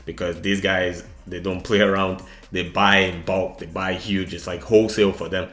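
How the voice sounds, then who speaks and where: A man's voice: low-pitched, a bit bright. A man giving casual, chatty market commentary, explaining things informally and speaking straight to his viewers. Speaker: retail trader making stock analysis videos. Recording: roomy, echoing indoor sound.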